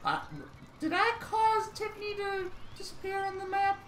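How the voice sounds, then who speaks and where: A young man streaming a shooter game from home at a desk mic, commenting excitedly and breaking into high-pitched yelps when startled. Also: moderate pace, a little hiss or hum. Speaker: gaming streamer. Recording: roomy with game music behind.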